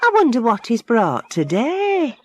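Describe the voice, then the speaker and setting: A man's voice: very high voice, wildly swooping pitch, unhurried, pitched above the performer's own voice, heavily acted. Dorothy, a man doing a chatty farm woman.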